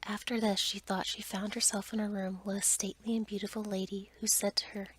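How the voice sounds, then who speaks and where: A woman's voice: medium pitch, little pitch movement, a little fast. Whispering woman reading a bedtime story. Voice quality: soft, breathy whisper.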